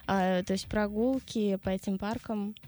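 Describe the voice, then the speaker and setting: A woman's voice: mid-range voice, fairly even intonation, a little slow, darkish tone, soft background music. Hesitant young woman radio guest. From Russia. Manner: hesitant, many fillers and restarts. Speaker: radio show guest, videographer. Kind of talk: casual interview answers.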